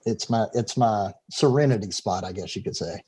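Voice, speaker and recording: Man, middle-aged, mellow dark voice, rambling, repeats words, video call audio. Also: fairly low pitch, normal speed, slightly rough. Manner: talkative, stumbles and repeats words, laughs easily. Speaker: interview guest, filmmaker. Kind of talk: casual video call interview. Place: home room.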